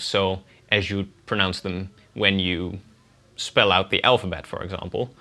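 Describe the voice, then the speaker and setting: Brief: young Dutch-native man, lowish pitch, bright tone, steady explainer. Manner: clear, methodical explaining with spelled-out examples. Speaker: guest language teacher. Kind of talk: scripted pronunciation lesson.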